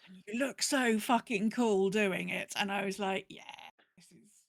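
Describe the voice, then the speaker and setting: A woman co-hosting a podcast, chatting casually about gaming in an animated, rambling way and acting out her own thoughts. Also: medium pitch, measured pace, vocal fry, background noise. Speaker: podcast co-host.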